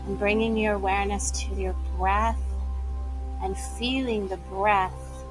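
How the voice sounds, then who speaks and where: A woman guides a meditation at a very slow, unhurried pace, her voice soft and inviting. Her pitch sits in an ordinary middle range, and faint music plays quietly underneath. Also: flat delivery, rough recording. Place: Bali, Indonesia.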